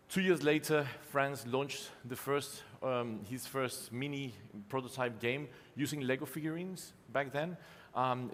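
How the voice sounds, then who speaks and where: A man in his forties giving a casual, self-deprecating conference talk from slides, with many ums and restarts. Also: medium pitch. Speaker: conference speaker, company co-founder. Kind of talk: live conference keynote with slides. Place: conference hall. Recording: long hall reverb.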